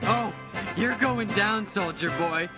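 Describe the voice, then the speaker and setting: An actor playing a cocky, irritable loudmouth who talks in a taunting, exasperated manner. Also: male voice, sits high, slow pace, over loud music.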